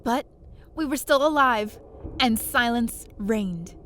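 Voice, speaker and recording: A woman playing a plucky, quick-thinking space adventurer who narrates her own story in an audio drama with expressive, dramatic delivery. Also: a little high, slight background noise. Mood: dramatic narration. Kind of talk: first-person narration and audio drama acting.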